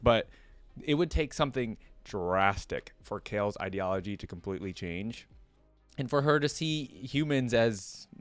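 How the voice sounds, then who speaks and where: A man with a low, smooth voice in a dry, close recording. He gives chatty fan commentary as a reaction host, informal and enthusiastic, wandering into asides. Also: no echo.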